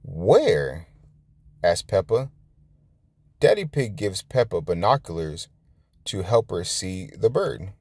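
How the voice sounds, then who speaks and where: A man with a low voice reads a picture book aloud for children. His pace is unhurried and his intonation moves around a lot. The recording is close and dry. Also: sing-song, darkish tone, dry recording. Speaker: storytime channel host and reader. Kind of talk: children's storybook read-aloud.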